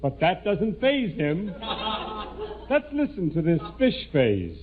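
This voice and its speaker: A man's voice: sits high, wildly swooping pitch, takes it slowly. A man narrating as a 1940s radio announcer in a mock-dramatic, declamatory way. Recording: tinny, faintly noisy old radio recording.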